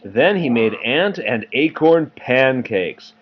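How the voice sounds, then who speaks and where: Slow-paced man reading a picture book, lively pitch. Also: highs cut off. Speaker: read-aloud storyteller for a child. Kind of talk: children's picture book narration with casual asides.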